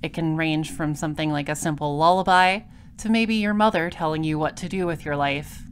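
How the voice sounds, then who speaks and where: A woman playing a shrewd, dry space patrol detective in an audio drama, explaining things deliberately. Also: low-pitched, clear, resonant tone, close to an everyday voice. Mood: dry, sardonic.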